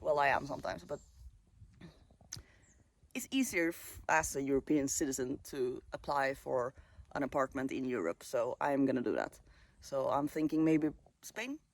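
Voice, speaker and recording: A man in his late twenties from Sweden, vlogging casually at a countryside caravan site, talking in a relaxed, musing way with pauses and fillers. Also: medium pitch, creaky. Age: late 20s. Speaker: travel vlogger. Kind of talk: casual vlog monologue.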